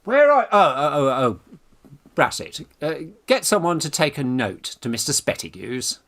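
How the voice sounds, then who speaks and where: Man acting brash, animated young English undergraduate. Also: fairly high pitch, unhurried. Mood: bossy, hurried. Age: young adult. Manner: exclamatory, bossy, jolly old-fashioned slang. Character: brash, scheming young Victorian Oxford undergraduate in love. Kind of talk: theatrical radio farce acting.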